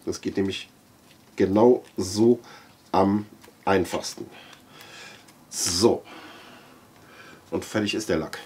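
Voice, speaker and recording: A middle-aged man with a husky voice, giving a casual, halting product demonstration as he handles the parts. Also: sits low, a little mellow. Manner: halting, thinking aloud while handling parts. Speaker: aquarium product reviewer. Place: indoor room with large aquarium.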